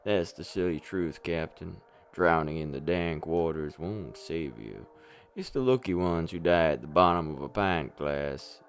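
A man's voice: sits low, expressive, measured pace. A man acting a weary, hard-drinking, despairing superhero in a darkly comic radio play, brooding and rambling with a sardonic edge. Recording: music playing under the voice.

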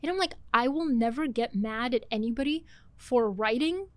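A woman's voice: on the high side, no echo. A woman from Bulgaria, in her thirties, talking animatedly as a guest author in a casual podcast conversation. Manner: animated, fast-talking, laughs often.

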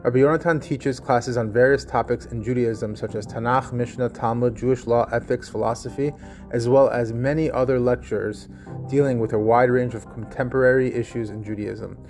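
A man hosting a podcast, reading a formal, measured introduction of his guest. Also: mid-range voice, a little mellow. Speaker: podcast host. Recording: faint guitar music behind. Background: faint guitar music.

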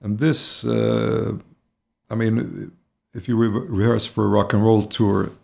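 Gravelly-voiced Swedish man, halting speech. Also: fairly low pitch, level tone, a little mellow. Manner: hesitant, many fillers and repeated words. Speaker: singer, interview guest. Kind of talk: casual conversational interview.

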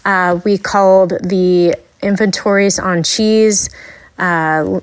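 A woman's voice: mid-range voice, fairly even intonation, takes it slowly, clear, resonant tone. Woman giving a casual market update. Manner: conversational with frequent fillers. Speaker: dairy market analyst. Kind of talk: weekly market report.

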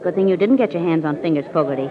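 A woman's voice: on the low side, expressive, background noise, close to an everyday voice. An actress playing a sensible woman who dryly teases her companion in a 1940s radio drama. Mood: dryly teasing. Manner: brisk, dryly teasing. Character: sensible, teasing female companion of a crime photographer. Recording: dull old radio recording with music.

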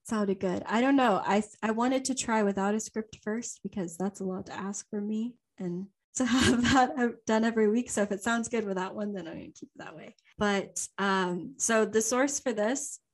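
A woman's voice: mid-range voice, normal speed, few pauses. A young woman co-hosting a podcast, telling a story from memory in a rambling, chatty way with plenty of ums and laughs. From Louisiana. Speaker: podcast co-host. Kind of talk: casual chat, unscripted storytelling.

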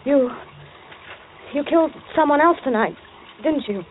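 Casting: scared young waitress, high tense voice, halting delivery, vintage radio suspense on a narrow old recording. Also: female voice, lively intonation, a little hiss or hum. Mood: fearful, careful. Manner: tense, halting, dramatic narration. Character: frightened young waitress held by a killer, recounting it. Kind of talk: old-time radio suspense drama acting. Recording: thin band-limited old radio recording, music behind.

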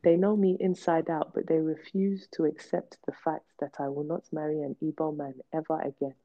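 A low-voiced woman speaks with blunt, emphatic force, repeating words to drive her point home. Her tone stays candid and personal, and the recording sounds dull and lo-fi. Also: level tone, normal speed. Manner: emphatic, blunt, repetitive for stress. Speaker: podcast host. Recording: dull lo-fi podcast audio.

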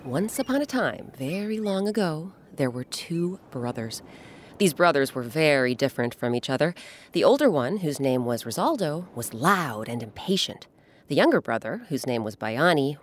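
A woman's voice: low-pitched, lively intonation, normal speed. A woman hosting and narrating a children's story, with dramatic, emphatic phrasing. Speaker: host and narrator.

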